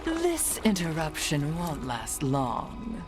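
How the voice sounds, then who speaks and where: An actress playing a haughty, mocking blood mage villain in a video game, with an affectedly genteel, taunting manner. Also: female voice, sits low, wildly swooping pitch, slow pace, exaggerated voice. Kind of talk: dramatic video game acting. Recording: game cutscene with loud background music.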